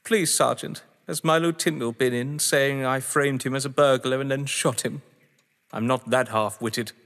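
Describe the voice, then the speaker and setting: A man acting a bitter, possessive husband in an audio drama, with deliberate, theatrical, articulate delivery. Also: medium pitch, steady tone, a bit bright, natural voice. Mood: cold scornful. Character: bitter, possessive male crime-story lover, pleading then menacing. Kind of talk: dramatic stage-play acting. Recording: long echoing reverb.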